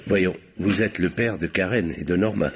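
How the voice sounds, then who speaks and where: An actor in an old radio drama playing a shrewd doctor who investigates crimes, calm and ironic as he explains his deductions. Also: low voice, moderate pace, slight background noise, close to an everyday voice. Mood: cool. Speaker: amateur detective doctor. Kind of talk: 1960s French radio drama acting. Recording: dull old radio broadcast recording.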